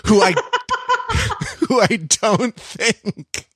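A man's voice: very high voice, huge pitch swings, extremely slow, a bit bright, slightly rough, dry recording. A man hosting a casual panel podcast about television, riffing in a wry way and often laughing. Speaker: podcast host.

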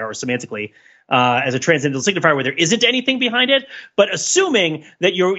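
A man's voice: on the high side, pushed and bright, highs cut off. A man talking casually about pop culture as a podcast panelist, in a rambling, digressive and analytical way.

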